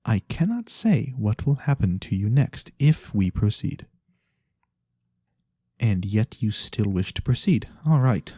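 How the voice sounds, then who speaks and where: A performer voicing a mysterious, courteous fantasy lorekeeper in a soft-spoken ASMR roleplay, breathy and theatrical with knowing pauses. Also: mid-range voice, very animated, no echo, clean studio sound, heavily acted. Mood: ominous, reluctant. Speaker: storyteller character.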